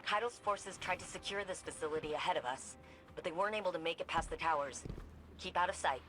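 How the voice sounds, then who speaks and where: A woman playing a determined, wry female scientist leading a covert mission in a video game, brisk and informal. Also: lowish voice, fairly bright tone, slight background noise, close to an everyday voice, rough recording. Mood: focused, businesslike. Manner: brisk, informal, dry instructions.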